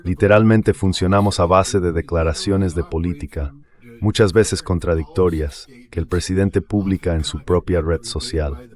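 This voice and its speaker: A man's voice: low-pitched, fairly even intonation, normal speed, darkish tone. A man reading a dubbed political and military commentary in an emphatic, explanatory way, in short declarative sentences. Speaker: translated voice-over of an interview guest.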